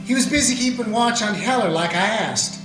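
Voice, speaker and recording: Male actor as calm folksy lawman narrator, high voice, music behind. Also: a little fast. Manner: plain folksy storytelling. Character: steady small-town lawman narrating his story. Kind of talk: radio play narration and acting. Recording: roomy echo with music.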